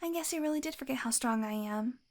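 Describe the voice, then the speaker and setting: Casting: actress as possessive fantasy healer for audio roleplay. Female, high voice, condescending and coaxing, sweet with menace underneath. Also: quick pace. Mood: teasing, smug. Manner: condescending, teasing, coaxing, with sighs and chuckles.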